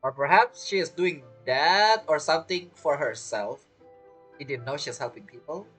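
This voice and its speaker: Low husky woman musing over gameplay. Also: expressive, normal speed, faint music behind. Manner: musing, offhand reactions and guesses. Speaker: gamer commenting on a playthrough. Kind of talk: casual let's-play commentary.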